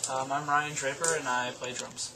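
A man's voice: mid-range voice, level tone, moderate pace, background noise. A man in his twenties, a band drummer, talking in an earnest, mumbling way in a small room. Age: 20s.

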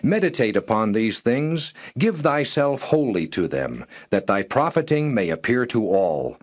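A man narrating scripture in a measured, solemn and formal reading style. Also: medium pitch, very animated. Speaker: Bible narrator.

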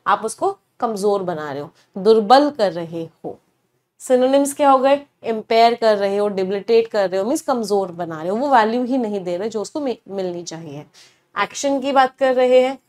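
A young woman teaching vocabulary in a studio lesson, explaining in mixed Hindi and English and answering her own questions. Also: on the high side. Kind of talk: online exam-prep lesson. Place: studio, standing before a large screen.

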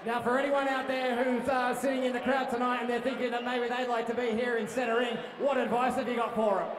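A man announcing a boxing bout and interviewing the winner in the ring on a handheld mic, drawing out his calls. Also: little pitch movement. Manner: booming, drawn-out announcements. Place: large ballroom boxing event. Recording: echoey hall with crowd noise.

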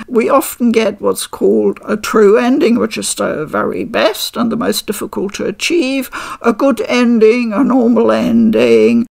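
An older woman reviewing a game from her living room at a desk mic, measured and articulate with a dry, wry humor. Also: fairly high pitch, vocal fry. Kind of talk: unboxing and review talk. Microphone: desk microphone.

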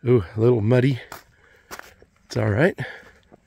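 A man in his sixties, out of breath and husky, chatting to himself in folksy exclamations as he vlogs outdoors on a phone. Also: medium pitch, unhurried, a little mellow, slight background noise. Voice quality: husky, gravelly. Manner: folksy, exclaiming, talking to himself in short bursts. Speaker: travel vlogger. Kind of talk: casual hiking vlog narration. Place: outdoors on rocky trail. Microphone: handheld phone at arm's length.

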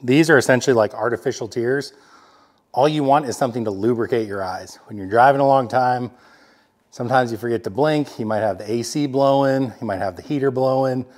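A man's voice: mid-range voice, fairly quick. A man in his forties hosting a vlog, talking in a laid-back, chatty way with lots of fillers from his home workshop. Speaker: vlog host. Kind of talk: casual product rundown. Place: home workshop office, indoors. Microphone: fixed camera, standing at desk.

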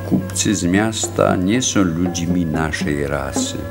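An actor playing a solemn, wise village elder in a radio play, with a measured, grave delivery. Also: male voice, deep voice, gravelly, over loud music, exaggerated voice. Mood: grave, knowing. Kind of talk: radio drama acting, solemn storytelling.

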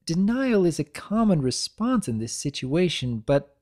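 A man voicing a professional female nurse pony, gentle and formal, in a dramatic reading of a cartoon story. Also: on the high side, big pitch swings, a little slow, voice pushed up. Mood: sympathetic.

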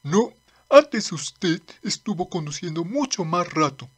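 A woman's voice: on the low side, big pitch swings, measured pace, husky, full character voice. A woman voicing a fretful, whiny old male demon attendant in a manga dub, fussy and deferential. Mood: pleading. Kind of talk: amateur manga dub acting.

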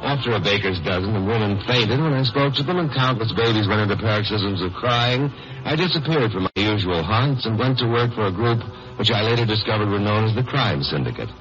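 Casting: dry, hard-boiled radio detective, lowish voice with lively swings, wisecracking old-time crime show delivery. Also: male voice, fairly quick. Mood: wry narration. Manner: tongue-in-cheek, dry. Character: wry, wisecracking hard-boiled male lawyer-sleuth. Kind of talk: 1950s radio crime drama narration. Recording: muffled old radio broadcast, music behind.

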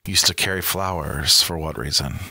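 A man's voice: extremely low pitch, moderate pace, vocal fry, noisy background. A man co-hosting a casual comedy podcast, chatting in a crude, dramatic way. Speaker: podcast co-host. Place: small home podcast studio. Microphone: close boom microphone with headset.

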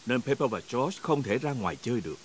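A man as a lively children's cartoon narrator. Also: mid-range voice, fast, noisy background, natural voice. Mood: friendly, explanatory. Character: friendly storyteller narrating for small children. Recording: loud music under the voice.

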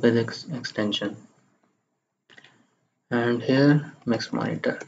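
A man narrating a step-by-step software screencast in a halting, plain-spoken way. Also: mid-range voice, fairly even intonation, vocal fry. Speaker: tutorial presenter. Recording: muffled lo-fi microphone, faint background noise.

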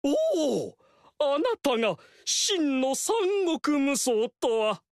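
An actor voicing a flamboyant, fawning court strategist in a video game, speaking in a theatrical, courtly way and repeating phrases for emphasis. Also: male voice, very high-pitched, very animated, slow pace, no echo, clean studio sound, full character voice. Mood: flattering. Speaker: game character.

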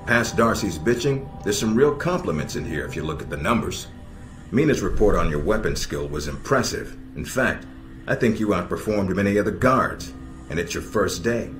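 An actor playing a stern, middle-aged American intelligence operations chief in a video game, measured and dryly sardonic. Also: male voice, on the low side, expressive, a bit bright. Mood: dry, appraising. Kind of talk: video game cutscene dialogue. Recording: echoey, music underneath.

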